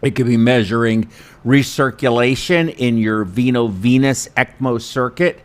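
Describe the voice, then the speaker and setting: A man hosting an informal instructional webinar, talking in a chatty, self-deprecating, halting way. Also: mid-range voice. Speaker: host and perfusionist instructor. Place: studio.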